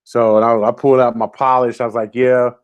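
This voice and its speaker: A man's voice: mid-range voice, little pitch movement, brisk, clean tone, dull audio. A middle-aged man co-hosting a casual show from home over a video call, laid-back and jokey, often trailing off into a mumble. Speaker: co-host. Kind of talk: casual chat.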